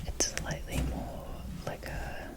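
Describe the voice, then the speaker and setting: A woman speaking in a soft, unvoiced whisper, showing items in a casual, rambling ASMR style with pauses between short phrases. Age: adult. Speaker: ASMR video host. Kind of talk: whispered ASMR, unscripted doll showcase.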